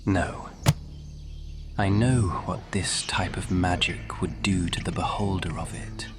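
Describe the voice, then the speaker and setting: Casting: male actor for ancient supernatural nobleman, very low and unhurried, breathy half-whisper, cultured and condescending, ASMR fantasy drama, music underneath. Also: steady tone. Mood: hushed, intent. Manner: measured, condescending, persuasive, often dropping into whisper. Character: scheming, cultured, centuries-old male supernatural lord. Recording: music behind the voice.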